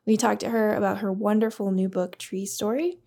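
Podcast host, woman, mid-pitched voice, friendly reading of the intro. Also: steady tone, fairly quick, darkish tone.

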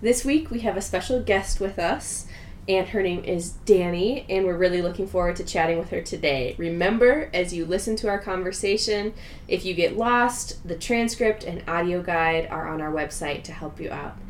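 A woman's voice: on the low side, normal speed. A woman co-hosting a podcast, interviewing a guest in a casual, conversational style. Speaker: podcast co-host. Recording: echoey room, some background noise.

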